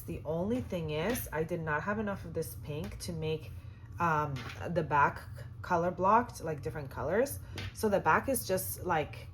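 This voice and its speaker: Hoarse, chatty woman sewing tutor. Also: on the low side, a little hiss or hum. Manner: chatty, upbeat, lots of fillers. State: hoarse, voice cutting in and out. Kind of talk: casual how-to demonstration.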